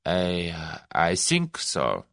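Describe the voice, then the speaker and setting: A man voicing a German conspirator who speaks clearly and deliberately. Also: lowish voice, sing-song, a bit bright, dry recording, studio quality, full character voice. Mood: businesslike. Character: German male conspirator, clipped and businesslike.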